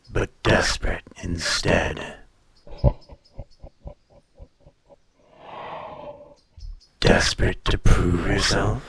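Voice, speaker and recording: Ancient dragon played by a man for audio roleplay: low, level delivery, haughty and teasing, condescending to mortals, lingering on phrases. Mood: haughty, scornful. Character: ancient, proud male dragon in humanlike form, condescending, teasing. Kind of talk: audio roleplay acting, intimate monologue addressed to listener.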